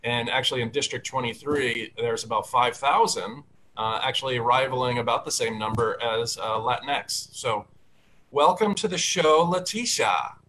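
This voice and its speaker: A man's voice: mid-range voice, moderate pace, a bit bright, a little hiss or hum, rough recording. A man hosting a casual video call talk show from a home study with bookshelves, upbeat and chatty with plenty of fillers. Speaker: host and candidate. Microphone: video call webcam.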